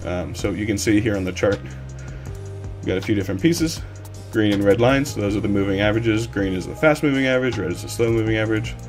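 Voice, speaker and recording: A man with a lowish, slightly hoarse voice talks through a live coding stream at his desk. He rambles along loosely, with plenty of ums and restarts. Music plays clearly under his voice. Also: fairly quick. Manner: relaxed, rambling with many fillers. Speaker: livestream coding instructor. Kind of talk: casual live-stream tutorial.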